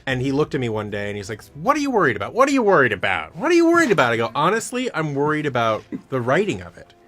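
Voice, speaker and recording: A man's voice: mid-range voice, huge pitch swings, fast talker, a bit bright. A man talking casually as an interview guest, an audio drama producer who tells stories by acting out the exchanges. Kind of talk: casual chat.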